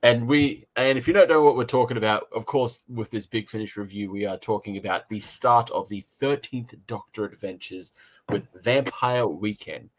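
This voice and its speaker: An Australian man hosts a casual review show. His pitch sits in the middle of the male range. He talks in a chatty, informal way and likes to tease and joke. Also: moderate pace, low-quality recording. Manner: jokey, teasing. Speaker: podcast review host.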